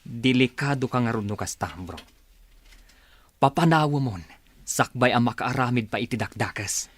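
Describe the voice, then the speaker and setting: A man's voice: mid-range voice, normal speed, natural voice. A man acting a concerned, incredulous best friend in a drama, questioning and urging with blunt advice.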